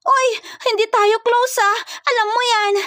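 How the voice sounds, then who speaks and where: A woman's voice: very high voice, fairly even intonation, a little slow, bright, forceful tone, no room sound, voice pushed up, full character voice. A woman voicing a frightened, feisty young provincial woman in a dramatized romance story, plaintive and exclaiming. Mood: shocked, protesting.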